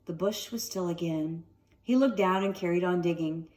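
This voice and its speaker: A woman reading a children's story aloud at home with expressive narration and casual asides. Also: lowish voice, normal speed, recorded from a distance. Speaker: storybook reader. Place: sunroom at home.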